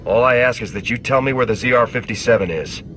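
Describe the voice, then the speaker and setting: An actor playing a steady soldier in a video game, speaking in a measured, direct, firm way. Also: male voice, medium pitch, a little hiss or hum, natural voice. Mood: firm, calm. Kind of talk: video game dialogue acting.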